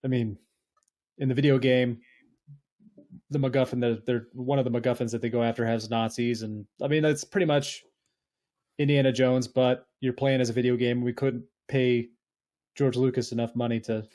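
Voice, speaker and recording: Regular mid pitched guy on a movie podcast, just casually talking through his take. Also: fairly even intonation, normal speed, dark tone. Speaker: podcast host. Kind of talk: casual film discussion.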